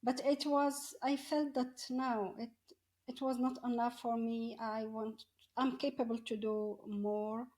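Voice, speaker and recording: A middle-aged woman tells her personal story in an interview, often halting and searching for words. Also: on the high side, little pitch movement, darkish tone. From Morocco. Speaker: podcast guest, coach.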